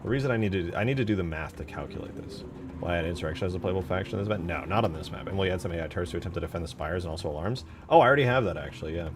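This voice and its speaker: A man's voice: sits low, animated, racing, background noise. A man streaming casually as he builds a game mod, thinking aloud and answering chat in informal, slangy talk. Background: music behind the voice.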